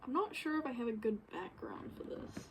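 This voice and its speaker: A woman giving casual, unscripted commentary while working on screen, rambling and halting as she goes. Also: fairly high pitch, big pitch swings, slight background noise, low-quality recording. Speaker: game developer recording a work-in-progress video. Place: bedroom, indoors.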